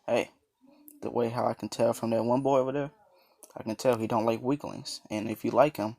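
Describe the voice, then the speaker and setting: A man quoting a calm young phoenix ninja. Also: medium pitch, fairly quick, gravelly. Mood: matter-of-fact.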